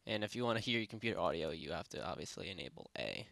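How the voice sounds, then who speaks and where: A man narrating a software tutorial in a casual, unscripted, rambling way, speaking informally with lots of filler phrases. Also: deep voice, lively intonation, rapid-fire, gravelly, no echo. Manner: rambling, informal, repeats "basically" and "you know". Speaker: tutorial presenter. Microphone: close headset microphone.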